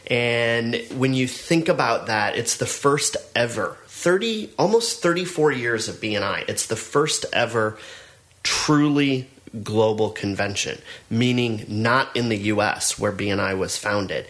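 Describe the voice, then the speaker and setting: A man's voice: medium pitch, lively intonation, a little slow, fairly bright tone. A middle-aged man co-hosting a podcast, talking in an earnest, encouraging way with frequent restarts. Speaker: podcast co-host, business network trainer. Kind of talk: casual podcast chat.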